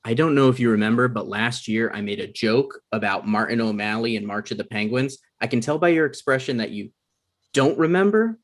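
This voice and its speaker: A man's voice: mid-range voice, a little fast, natural voice. A man reading the part of an earnest, pushy young hopeful TV writer in a comedy table read. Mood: earnest, nervy. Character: eager, pushy male aspiring TV writer.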